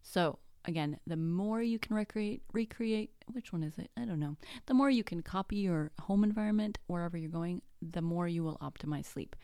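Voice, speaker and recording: Chatty podcast mom, spanish is her first language, lowish voice, dry close mic. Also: moderate pace, hardly any pauses, warm tone, clean tone, dry recording. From Mexico. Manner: chatty, self-deprecating, jokey asides. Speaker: podcast host. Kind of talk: casual solo podcast.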